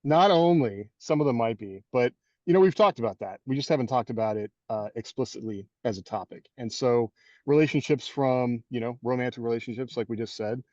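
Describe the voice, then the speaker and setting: A man's voice: medium pitch, brisk, warm tone, dry recording. A man with a mid-range voice introduces the topic loosely, with lots of "like" and "you know". His mic sounds dull and lo-fi, and the sound is dry. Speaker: podcast host. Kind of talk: casual chat.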